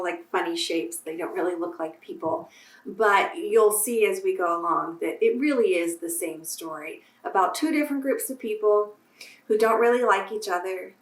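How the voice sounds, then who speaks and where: A woman reading aloud to children as a storyteller, with a deliberate, simple delivery. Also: medium pitch. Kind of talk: children's picture book read-aloud with casual introduction. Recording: roomy echo, distant microphone.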